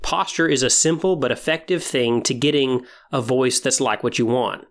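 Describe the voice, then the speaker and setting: A man's voice: mid-range voice, normal speed. A man hosting a podcast, speaking directly to listeners in an encouraging, semi-scripted way. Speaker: podcast host. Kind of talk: semi-scripted podcast talk on tabletop roleplaying.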